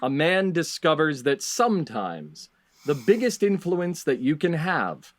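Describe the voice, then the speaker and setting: Male quiz host: high register, deliberate pacing, announcer-style readouts mixed with casual banter. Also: very animated. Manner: announcer-like, repeats contestants' answers back. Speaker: game show host. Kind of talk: lively scripted quiz hosting with casual banter.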